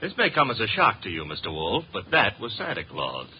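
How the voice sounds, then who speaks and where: Lively wisecracking detective's legman. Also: on the low side, fairly quick, breathy edge, heavily acted. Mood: wry. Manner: wry, flippant. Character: wisecracking, cheeky legman to a detective, narrates the case. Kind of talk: 1950s radio drama acting and narration. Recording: muffled, hissy old radio recording.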